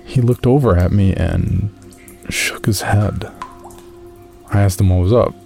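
A man's voice: sits low, measured pace, creaky, dark tone, faint music behind. A man playing an amateur caver, reading his uneasy journal as first-person horror story narration. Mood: measured, uneasy.

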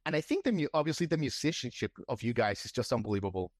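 A man's voice: medium pitch, expressive, quick pace, no room sound, studio quality. A man hosting a podcast interview, asking rambling questions full of restarts and fillers. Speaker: podcast host. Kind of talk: casual interview.

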